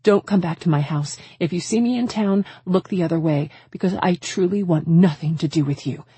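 A woman's voice: low-pitched, slow pace, dark tone, husky, dry recording, full character voice. A woman voicing a hurt, defiant woman with an indignant, cutting manner in audiobook dialogue. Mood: angry, cold.

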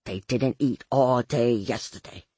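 A woman voicing a blunt, belligerent, streetwise male vampire sidekick with a husky, complaining delivery in an audiobook. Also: very deep voice, unhurried, dark tone, no echo, voice pushed down, exaggerated voice. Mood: grumbling.

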